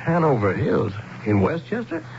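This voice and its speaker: An actor playing a weary, bewildered middle-aged commuter who grows impatient, in a suspenseful radio drama with first-person storytelling. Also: male voice, fairly high pitch, huge pitch swings, normal speed, gravelly, darkish tone, exaggerated voice. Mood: impatient, wary. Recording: dull, noisy 1970s radio broadcast.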